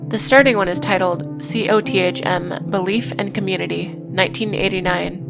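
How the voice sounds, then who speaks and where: A woman's voice: medium pitch, fairly even intonation, moderate pace, vocal fry, loud music behind the voice, low-quality recording. A woman hosting a video essay, reading scripted narration with casual, sardonic asides.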